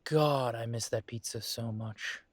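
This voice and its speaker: A man playing a slow, earnest young werewolf. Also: mid-range voice, no room sound. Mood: friendly. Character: young adult male werewolf son, earnest and proud. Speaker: audio drama creator and lead voice. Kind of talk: audio drama acting.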